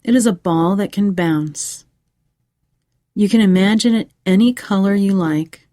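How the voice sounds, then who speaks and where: A woman narrating a scripted guided meditation for children in a measured, soothing way with pauses. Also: medium pitch, warm tone, no echo, studio quality.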